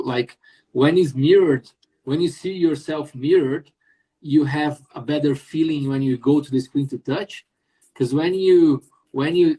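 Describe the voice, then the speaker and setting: A man hosting a casual live-stream interview, explaining things in a halting way with many restarts and jokes. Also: mid-range voice, mellow, husky, poor audio. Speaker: host.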